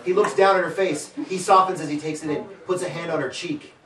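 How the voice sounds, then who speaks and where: High-pitched male narrator, playful live script reading, stumbling asides, distant echoey mic, audience murmur. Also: fairly even intonation, fairly quick, a bit bright. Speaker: reader of stage directions.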